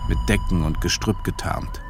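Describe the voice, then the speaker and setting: A sober male narrator tells a war story in a radio drama. His voice is fairly low, in measured literary narration over clear music. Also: huge pitch swings. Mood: sober, observant.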